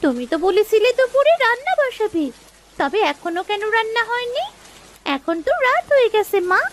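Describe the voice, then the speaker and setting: A woman's voice: very high voice, lively intonation, moderate pace. A woman voicing a childlike, pleading little village girl in a cartoon, with rain behind her. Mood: hungry whining. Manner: childlike, whining and eager. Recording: clear rain noise and clicks.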